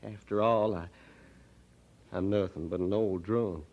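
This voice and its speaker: Man acting low-voiced humble Southern country drunkard. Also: steady tone, close to an everyday voice. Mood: humbled, troubled. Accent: rural Southern American. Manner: halting, folksy, ungrammatical. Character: gruff, humble poor rural Southern drunkard, softening and ashamed. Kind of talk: radio drama acting. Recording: dull old radio drama recording.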